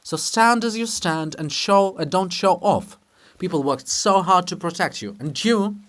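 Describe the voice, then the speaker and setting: Animated monument of a statesman for a drama-farce, read by a man: teasing, blunt, jaunty, grandly reassuring. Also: high voice, measured pace. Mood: chiding. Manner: teasing, theatrical, swings from blunt to grandly consoling. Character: brisk, needling, jaunty male monument of a statesman.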